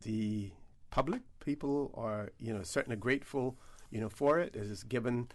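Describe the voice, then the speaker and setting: A man hosting a radio talk show, asking questions in a halting way with many "uh" pauses. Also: mid-range voice, a little mellow.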